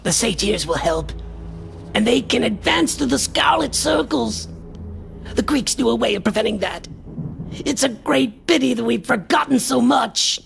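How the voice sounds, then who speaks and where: A man's voice: extremely high pitch, expressive, measured pace, vocal fry, fairly bright tone, faint music behind, heavily acted. A man playing an obsessed, frantic occult scholar in a radio horror drama, theatrical and portentous in delivery. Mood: anxious.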